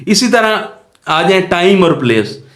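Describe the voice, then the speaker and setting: A man's voice: sits high, sing-song, slow pace, fairly bright tone. A man with a Pakistani accent teaching law in an explanatory lecture, posing questions and answering them himself in a didactic way. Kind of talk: explanatory lecture with worked examples. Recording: echoey room.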